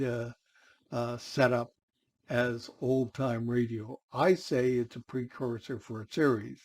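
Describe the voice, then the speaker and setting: A man giving a measured spoken film review as an audience member, pausing deliberately between items. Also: medium pitch, a little mellow.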